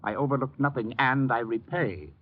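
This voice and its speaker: An actor playing a vindictive, haughty theater producer in a radio drama, speaking with pompous, menacing deliberation. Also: male voice, mid-range voice, moderate pace, natural voice. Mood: cold menace. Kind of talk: 1950s radio drama acting. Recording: dull, faintly noisy old radio recording.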